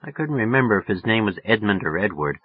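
A man's voice: fairly low pitch, big pitch swings, quick pace, husky, no room sound, phone-quality sound. A man narrating a novel in the first person, in a colloquial, confiding way. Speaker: audiobook narrator.

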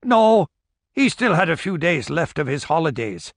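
A man's voice: fairly high pitch, big pitch swings, moderate pace, gravelly, no echo, studio quality, pitched above the performer's own voice, heavily acted. A man voicing a friendly middle-aged barkeep, deferential and spinning a tale. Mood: telling a tale. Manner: deferential, storytelling.

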